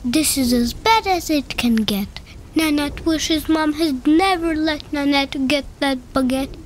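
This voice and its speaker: A woman reading a children's picture book aloud in a slow, playful storybook manner. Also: sits high, a little hiss or hum. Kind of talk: children's read-aloud narration. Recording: faint music behind the voice.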